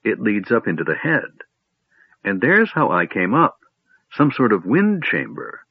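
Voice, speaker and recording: A man voicing an excitable, curious, childlike male kender in a storybook reading, talking in eager bursts. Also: lowish voice, a little slow, slightly rough, no echo, heavily acted. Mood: wondering. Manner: breathless, eager exclamations. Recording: dry tinny phone-like audio.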